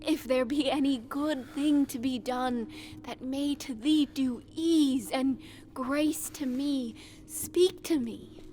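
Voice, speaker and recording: A woman playing an earnest, awestruck night watcher facing a ghost, dramatic and deliberate, in a Shakespearean audio drama. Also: sits high, warm tone, a little hiss or hum. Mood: awed, pleading.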